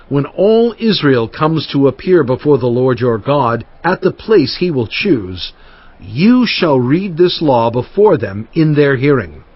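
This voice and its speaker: An aged prophet urges his people with earnest, emphatic conviction. His voice is husky and sits fairly high, over faint music on a dull recording. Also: no room sound, heavily acted. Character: Moses, aged male prophet and leader. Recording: muffled lo-fi audio with faint music.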